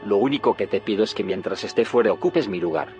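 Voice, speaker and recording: Actor playing a low-voiced, solemn secretive scientist. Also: male voice, quick pace, darkish tone, lo-fi sound, faint music behind, natural voice. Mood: resolute. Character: grave, secretive male scientist haunted by failed timelines. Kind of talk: audio fiction drama acting.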